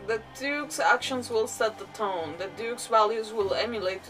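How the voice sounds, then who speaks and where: A woman's voice: a little high, normal speed, far from the mic. A woman game streamer giving casual live commentary, exclamatory and often stumbling as she reads aloud. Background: game music.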